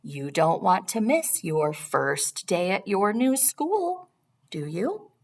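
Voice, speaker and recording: Woman voicing a coaxing storybook dad, animated pitch. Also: exaggerated voice. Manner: deliberate, coaxing, emphatic. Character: patient but firm, coaxing father in a picture book.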